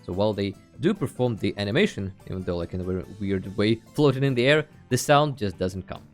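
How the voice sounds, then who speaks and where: Male tutorial host, animated mid-range voice, casual and playful delivery, faint music underneath. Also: lowish voice, fast. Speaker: host of a video game tutorial channel. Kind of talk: casual tutorial screen narration.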